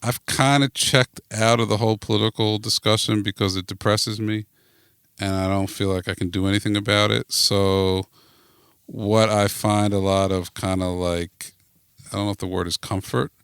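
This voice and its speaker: A man's voice: lowish voice, flat delivery, moderate pace, a bit bright, smooth, no echo, clean studio sound. A man being interviewed as a podcast guest, chatting casually and thinking aloud as he goes. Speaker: podcast guest, network founder.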